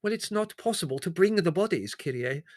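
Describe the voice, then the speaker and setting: A man's voice: high-pitched, animated, fairly quick, dry recording, studio quality, voice pushed up. A man putting on a cringing little peasant. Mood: pleading. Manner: cringing, pleading, nervous.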